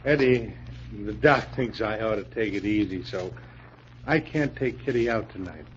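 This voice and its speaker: An actor playing a meek, ailing, trusting husband in an old-time radio crime drama, timid and placating. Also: male voice, on the low side, normal speed, lightly acted. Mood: friendly, hopeful. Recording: muffled, hissy old radio recording.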